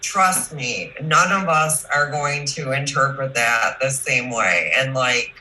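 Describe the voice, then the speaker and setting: A woman hosts a casual discussion in a very bright voice at an unhurried pace. She is emphatic and effusive. The sound is an echoey video call. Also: rumbling low, slight background noise. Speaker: show host. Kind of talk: casual chat.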